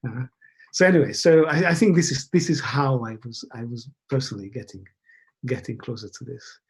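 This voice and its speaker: A man from Hungary, speaking as an academic guest in a halting, musing way that leans on key words, over a video call. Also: mid-range voice, husky. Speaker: academic guest, behavioral scientist. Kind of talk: thoughtful interview conversation.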